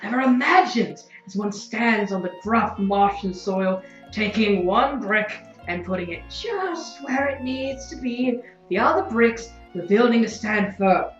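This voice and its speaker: A woman's voice: fairly high pitch, expressive, a little slow, hardly any pauses. A woman playing a solemn former slave in an audio drama, speaking formally and dreamily in philosophical metaphor. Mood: awed, solemn. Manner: stilted, formal, dreamy and ponderous. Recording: echoey, dull recording with light music.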